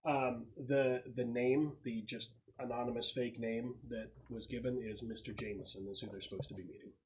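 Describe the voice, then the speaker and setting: Informal male game master, mid-pitched voice. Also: fairly even intonation, slight background noise, low-quality recording. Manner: informal, slangy, halting descriptions. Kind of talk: casual narration of a tabletop game.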